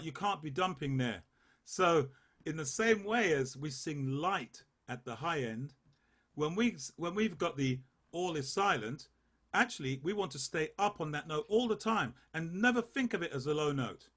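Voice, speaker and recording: A man leading a choir rehearsal, coaching the basses and singing short phrases to demonstrate. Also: animated, a bit bright. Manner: unhurried, sings phrases to demonstrate. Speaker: choir leader.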